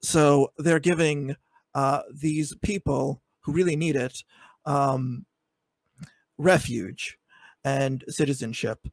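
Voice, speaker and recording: A man's voice: on the high side, steady tone, takes it slowly, gravelly, no echo. A man debating on a video call, hesitant with many fillers but building into pointed arguments. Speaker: debate guest. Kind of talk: online debate.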